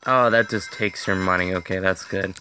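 A man's voice: low voice, fairly even intonation, normal speed, pushed and bright. Young man with a strained, fading voice. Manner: chatty, easily frustrated, talks to viewers. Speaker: gaming video host. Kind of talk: casual gameplay commentary.

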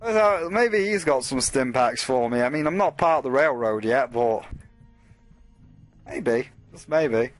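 A man's voice: mid-range voice, lively intonation, measured pace, faint music behind. A man hosting a let's play, rambling casually and swearing as he talks through the game and to his chat. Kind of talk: casual gameplay commentary.

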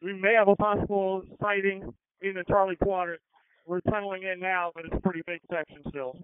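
A man's voice: sits high, level tone, fairly quick, clear, resonant tone, no room sound. A man speaking as a fire battalion chief in terse, urgent, clipped radio traffic over a two-way radio. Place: at a fire and collapse scene. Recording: phone-like fire radio channel.

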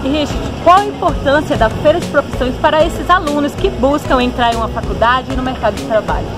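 A woman's voice: sits high, fast talker. A young woman in her twenties with a Brazilian accent, chatting upbeat as she reports from a fair, filming on a phone held at arm's length. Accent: Brazilian Portuguese. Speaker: event reporter. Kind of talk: casual event walkthrough. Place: busy indoor fair hall and outdoor stand. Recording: loud music and crowd behind.

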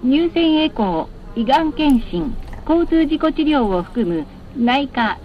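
A woman bus announcer reading scripted stop announcements in a formal, polite, carefully enunciated way over cabin speakers. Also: sits high. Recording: muffled, with clear vehicle noise. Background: vehicle noise.

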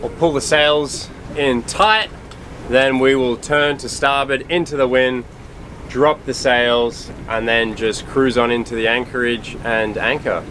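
A man's voice: mid-range voice, a little slow, background noise. Upbeat man vlogging from a sailboat. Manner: upbeat, explains sailing steps plainly. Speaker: sailing vlogger, dad. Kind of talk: casual vlog narration to camera. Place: outdoors on a sailboat at sea. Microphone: action camera at arm's length. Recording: music bed under voice.

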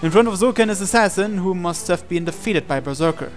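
A man reading a visual novel aloud as a dramatic narration, with deliberate pauses. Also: high-pitched, animated. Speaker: gaming channel host. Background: game music.

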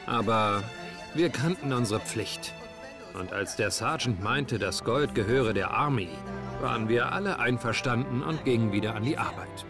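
An actor playing an earnest, laid-back young rookie soldier, narrating a game story in a wry, matter-of-fact way. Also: male voice, mid-range voice, expressive, normal speed, over music, lightly acted. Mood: wry, reflective.

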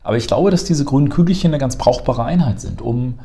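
Mellow-voiced man explaining in a bright room. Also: mid-range voice, brisk. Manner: fluent, lecturing, frequent "ähm" fillers. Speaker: emergency medicine educator. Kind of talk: explanatory conversation. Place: bright office room, seated on sofa.

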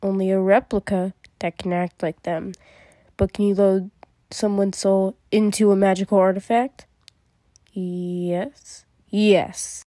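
Mellow woman voice-acting, slow and dry. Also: medium pitch, level tone, dry recording. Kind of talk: voicing characters in a fan comic dub.

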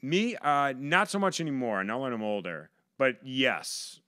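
An American guy holding court at a bar table, bright voice, close and dry, spinning a story for friends. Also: medium pitch, big pitch swings, measured pace, dry recording. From Buffalo, New York, USA. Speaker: podcast guest, stand-up comedian. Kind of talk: casual comic storytelling. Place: small home podcast room, indoors. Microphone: podcast boom microphone.

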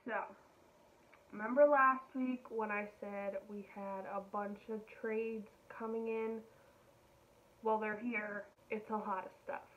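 A young woman chats unhurriedly and gushes over each thing she holds up, at an average pitch. Her voice comes through thin and tinny, like a phone line, in a home kitchen. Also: level tone, slow, a little hiss or hum. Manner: gushing, enthusiastic. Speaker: vlogger. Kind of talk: casual haul chat.

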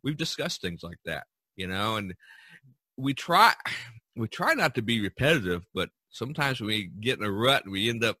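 A man's voice: lowish voice, very animated, moderate pace, bright, forceful tone, slightly rough, dry recording. A man hosting a podcast in casual, rambling, chatty conversation with artist friends. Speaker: podcast host. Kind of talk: casual chat among artists.

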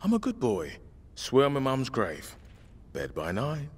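A man's voice: medium pitch, wildly swooping pitch, a little slow, husky, heavily acted. A man playing a joking, charming survivor in a zombie video game, flippant and cajoling, with a London accent. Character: cocky, joking male zombie-outbreak survivor, charming and unbothered. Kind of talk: video game drama acting.

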